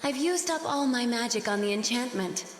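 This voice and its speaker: A woman voicing a solemn magical spirit who narrates a fairy tale in a game, in a measured way. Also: a little high, expressive, lightly acted. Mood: weary. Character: solemn magical female spirit storyteller of an amulet. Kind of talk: scripted storybook narration in a game. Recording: echoey reverberant effect, music behind.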